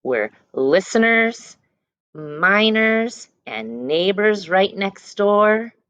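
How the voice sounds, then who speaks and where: A woman's voice: medium pitch, animated, drawn-out words, a bit bright, clean tone, no echo, muffled recording. A woman teacher reading a picture book aloud to young children, stressing words and letter sounds and adding short explanations. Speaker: elementary school teacher reading aloud to class.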